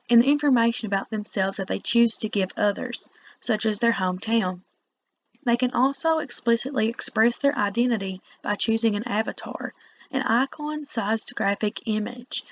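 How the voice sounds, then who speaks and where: A woman teacher reads a text aloud, stopping to explain it in plain, informal words. Her voice sits fairly high and is clearly creaky. The recording is dull and muffled. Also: slight background noise. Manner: explanatory, pausing to paraphrase the text. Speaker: teacher reading a lesson aloud. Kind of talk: read-along lecture with informal asides.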